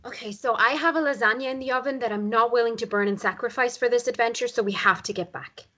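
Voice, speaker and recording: A woman's voice: on the high side, fast, bright, forceful tone. A woman playing a harried, irritable but reluctantly hospitable countryside mother in a drama table read. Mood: exasperated.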